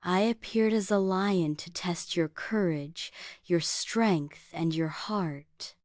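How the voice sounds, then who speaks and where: A woman playing a gentle, benevolent angel guardian in a children's bedtime story, speaking in measured, formal lines. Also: on the low side, no room sound, clean studio sound. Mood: solemn, approving. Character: gentle, solemn female angel guardian of a forest.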